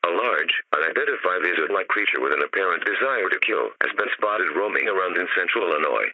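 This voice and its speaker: A man's voice: low-pitched, creaky, full character voice. A synthetic man's voice as an impassive emergency alert announcer, reading a formal scripted broadcast over dull radio.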